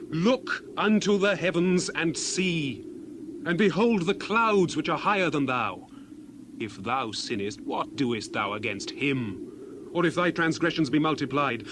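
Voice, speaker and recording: Male actor as indignant biblical preacher figure, slightly high pitch, solemn rhetorical declamation, dramatized scripture, clearly audible bird ambience. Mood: stern, questioning. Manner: solemn, declamatory, rhetorical, swinging from hushed to loud. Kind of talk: dramatized King James scripture reading. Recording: clear background noise, outdoor bird ambience.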